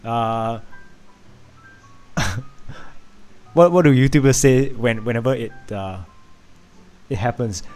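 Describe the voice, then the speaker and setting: A man's voice: medium pitch, slow pace, long pauses, darkish tone, faint music behind. A man from Vietnam with a Singaporean English accent, hosting a casual live stream and chatting with viewers, laughing often and filling gaps with "uh" and restarts. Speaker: livestream host.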